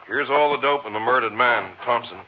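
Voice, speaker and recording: An actor playing a plainspoken, businesslike sheriff in a 1950s radio drama, brief and laconic. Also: male voice, mid-range voice, brisk, close to an everyday voice. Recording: muffled, noisy old radio broadcast.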